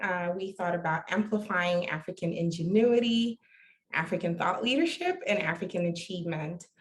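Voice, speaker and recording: A woman with a mid-pitched voice speaks as an event host. She gives a formal welcome and introduces the panel in an organized, presentational way.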